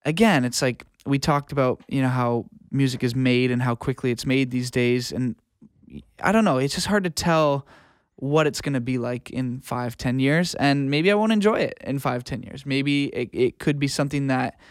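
Clear-voiced young North American man chatting. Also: mid-range voice, normal speed, no room sound, studio quality. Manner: informal, reflective. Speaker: interview guest, music producer and audio engineer. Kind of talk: casual chat.

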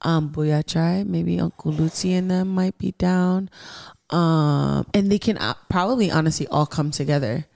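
Woman w a low voice gushing and rambling on a podcast, super dry close sound. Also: moderate pace, gravelly, dry recording. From Southern California. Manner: warm, effusive, rambling with many fillers. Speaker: podcast guest, musician. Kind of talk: casual podcast chat. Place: podcast studio.

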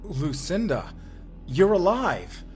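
An actor playing a weary, suspicious young time traveler in an earnest, intense sci-fi audio drama. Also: male voice, high voice, very animated, takes it slowly, a little mellow, soft background music, heavily acted. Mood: shocked, explaining. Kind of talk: immersive sci-fi audio roleplay acting.

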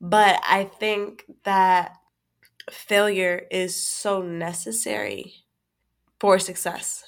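A woman talking on a casual podcast, her voice stuffy from a cold. She speaks in an animated way, laughs often and restarts her phrases as she thinks aloud. Her pitch sits in a middle range. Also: drawn-out words, creaky. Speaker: podcast co-host. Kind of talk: casual chat.

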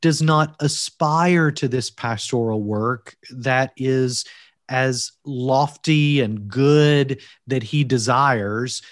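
A man with a Kentucky accent, a guest on a podcast, speaking in an earnest, deliberate way with preacherly emphasis. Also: mid-range voice, dry recording, studio quality. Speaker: guest, seminary teacher and preacher. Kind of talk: measured podcast interview. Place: recorded from Wales.

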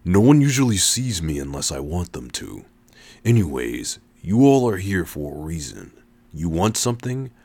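A man voicing a mysterious disembodied male being, deep, calm and knowing, in a story reading. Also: normal speed, exaggerated voice.

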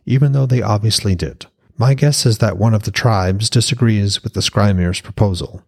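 Man as an earnest, low-voiced Norse prince. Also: normal speed, creaky, slightly rough. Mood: insistent. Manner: insistent, reasoning.

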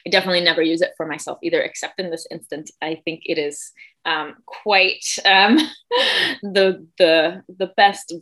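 Casting: woman, teacher type, lower register, rambling and talkative, full of ums and restarts. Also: lively intonation, normal speed, fairly bright tone. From Germany. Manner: chatty, lots of fillers and self-corrections. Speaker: teacher, podcast guest. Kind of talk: casual storytelling.

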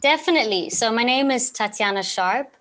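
A woman's voice: a little high, animated, brisk, a bit bright. A woman in her twenties from Zimbabwe, answering interview questions in an earnest, reflective way. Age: 20s. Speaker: podcast guest, alumna.

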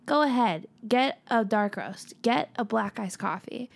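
A young woman co-hosting a casual podcast chat, hoarse from losing her voice, rambling with fillers and giggles. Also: fairly high pitch, expressive, measured pace, no echo. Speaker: podcast co-host.

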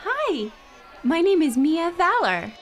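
Woman as friendly schoolgirl, high voice, super sing-song, audio drama. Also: big pitch swings, slow, heavily acted. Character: quiet, kind, friendly schoolgirl. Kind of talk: audio theater acting.

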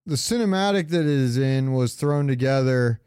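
Smooth-voiced man chatting on a podcast. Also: medium pitch, expressive, moderate pace, a little mellow, no room sound, studio quality. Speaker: podcast co-host. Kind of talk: casual gaming podcast chat.

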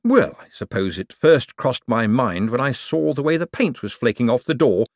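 A man reading an audiobook, voicing a wry, sharp-witted young man with a dry, sardonic manner. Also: medium pitch, lively intonation, normal speed, no room sound, voice pushed up, close to an everyday voice. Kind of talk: audiobook character voice.

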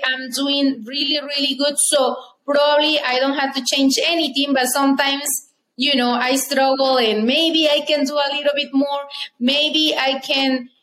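A woman in her forties speaks with a Mexican Spanish accent, her voice set high. She chats casually as a guest and laughs through her stories. The room is echoey, with a faint hiss underneath. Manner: warm, laughs while telling stories. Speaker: guest, real estate agent. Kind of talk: casual interview chat.

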